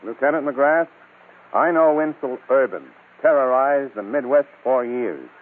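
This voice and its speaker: An actor playing a formal former police commissioner, asking measured questions in a scripted old-time radio crime show. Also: male voice, medium pitch, close to an everyday voice. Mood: formal, serious. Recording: tinny, narrow old radio broadcast.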